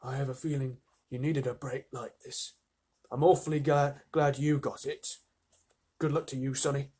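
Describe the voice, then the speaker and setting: Storybook shopkeeper, male, played by a reader: protective, firm, avuncular, rough-edged voice. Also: medium pitch, steady tone, rapid-fire. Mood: kindly.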